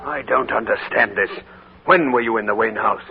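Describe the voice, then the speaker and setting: An actor playing a bitter, secretive older uncle with a stern, commanding manner in a 1940s radio drama. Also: male voice, lowish voice, moderate pace, vocal fry, loud music behind the voice. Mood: shaken. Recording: tinny 1940s radio broadcast.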